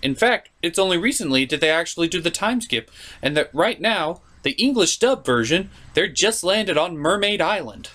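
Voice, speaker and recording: A man's voice: sits high, expressive, moderate pace, pushed and bright. A man delivering comedic scripted review commentary as host, in a theatrical, opinionated manner.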